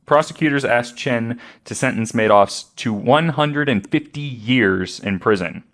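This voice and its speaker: A man's voice: mid-range voice, unhurried, fairly bright tone. A man hosting a podcast, reading out a true-crime account with joking asides. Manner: joking, laughs often. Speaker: podcast host.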